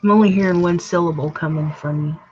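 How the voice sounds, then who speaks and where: A woman hosting a craft chat from home, playful and teasing, and she talks loud. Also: fairly low pitch, steady tone, a little fast. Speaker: craft channel host. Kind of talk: casual chat while crafting. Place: home craft room, TV in the next room. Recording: dull lo-fi sound with faint noise.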